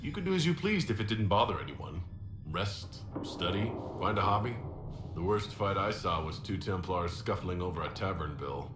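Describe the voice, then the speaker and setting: A calm, low-voiced hero in echoey noise. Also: male voice, normal speed, over music. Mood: wry. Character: male noble mage hero, calm, wry leader. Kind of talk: video game dialogue acting. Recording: echoey game capture, loud ambient noise.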